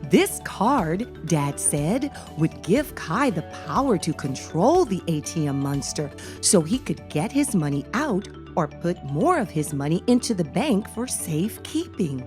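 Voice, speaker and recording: Animated woman reading stories to children. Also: on the low side, soft background music. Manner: animated, deliberate, addressing young listeners. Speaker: children's read-aloud show host and narrator. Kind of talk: expressive children's storybook reading.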